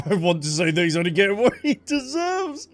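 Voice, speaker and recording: Animated man with a high-pitched voice. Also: fairly quick. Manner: thinks aloud in reaction to the story. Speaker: game streamer. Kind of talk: casual reaction commentary on a video game. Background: game music.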